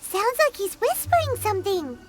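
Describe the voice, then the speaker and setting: A woman voicing a tiny, excitable fairy companion with a chirpy, childlike manner in a video game. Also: very high-pitched, normal speed, soft background music. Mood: curious, concerned. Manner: chirpy, speaks of self in third person. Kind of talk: video game character acting.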